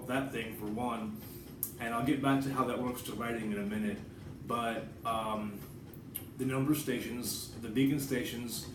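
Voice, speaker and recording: An American man giving a casual, rambling vlog monologue, informal and digressive, with some swearing. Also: mid-range voice. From United States. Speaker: writer and vlogger. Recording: roomy echo, distant mic, background noise.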